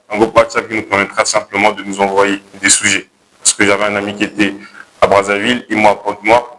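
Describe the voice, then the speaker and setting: A young man with a Congolese accent pitching his project to a jury, hesitating and correcting himself as he goes. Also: bright, forceful tone, slight background noise, low-quality recording. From Republic of the Congo. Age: young adult. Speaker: contest candidate pitching a project.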